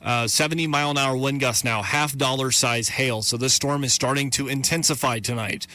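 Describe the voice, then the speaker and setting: A man's voice: mid-range voice, steady tone, a little fast, sharp tone, dry recording. A man hosting live radio severe weather coverage, reading warnings, place names and safety instructions in a matter-of-fact, urgent way.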